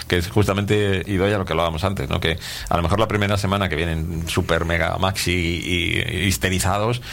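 A man's voice: sits low, fairly even intonation, brisk, gravelly, a bit bright. A middle-aged man with a Castilian Spanish accent, interviewing as a radio host in a chatty, rambling way and often ending phrases with a questioning tag. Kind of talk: casual conversational interview.

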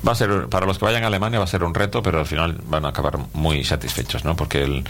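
A middle-aged man with a Castilian Spanish accent and a low, gravelly voice hosts a casual radio interview. He talks in a chatty, rambling way and often tags his phrases with a question. There is faint noise behind him. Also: steady tone, fast, fairly bright tone. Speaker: radio host interviewing schoolchildren.

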